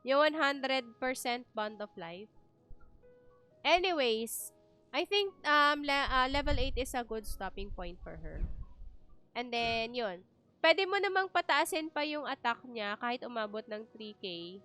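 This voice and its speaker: A woman game streamer with a Filipino accent chats casually and explains as she plays. Her voice is high and bright, with crisp clarity, over faint music. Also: lively intonation, unhurried. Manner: chatty, explanatory, switches between two languages. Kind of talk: casual livestream game commentary.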